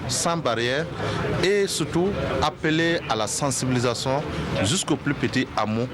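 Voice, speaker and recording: A man's voice: mid-range voice, moderate pace. A man giving an interview statement. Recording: loud crowd outdoors behind.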